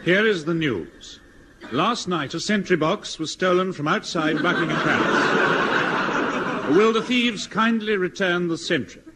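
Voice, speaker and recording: A man's voice: high voice, a little slow, very noisy. A British man announcing formally in the style of 1950s radio, on an old broadcast recording. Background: music.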